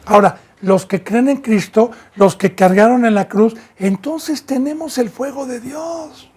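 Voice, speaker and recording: Fervent Mexican pastor preaching a sermon. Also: male voice, high-pitched, slightly rough. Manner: fervent preaching with sudden loud and soft swings, some hesitations.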